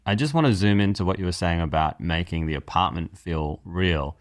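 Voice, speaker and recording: A man's voice: low-pitched, brisk, dry recording, studio quality. A man speaking as a podcast guest and writer, conversational, explaining things in a thoughtful way.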